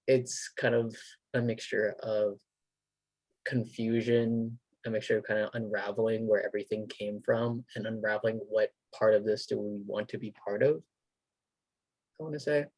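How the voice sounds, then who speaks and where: A man's voice: on the low side, steady tone, normal speed, a little mellow, no room sound, low-quality recording. Young guy hosting a chat, thoughtful, rambly with fillers, dry sound. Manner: thoughtful, loosely phrased with fillers. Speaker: host. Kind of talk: casual conversation.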